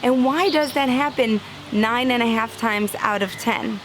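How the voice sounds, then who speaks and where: A woman's voice: a little high, unhurried, background noise. Earnest woman giving a spiritual talk. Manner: earnest, animated, acts out inner voices; laughs lightly now and then. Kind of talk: inspirational religious talk.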